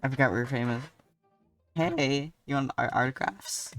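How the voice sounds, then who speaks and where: A woman's voice: very deep voice, moderate pace, distant mic, soft background music. A woman streamer giving laid-back, jokey, casual reactions while playing a game.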